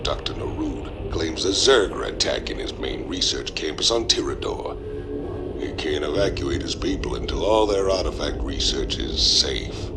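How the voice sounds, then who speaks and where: A very deep-voiced space comrade. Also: male voice. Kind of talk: video game briefing dialogue.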